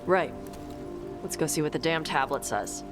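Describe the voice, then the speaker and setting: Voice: medium pitch, lively intonation, normal speed, natural voice. An actor voicing a blunt young Qunari warrior, curt and casual. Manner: curt, casual, few words. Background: music.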